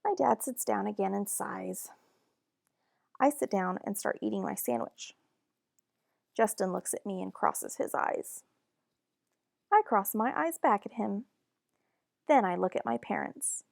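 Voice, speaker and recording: A woman reading a children's picture book aloud in a deliberate, expressive storytelling manner. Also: medium pitch, gravelly, dry recording, studio quality. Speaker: storybook reader.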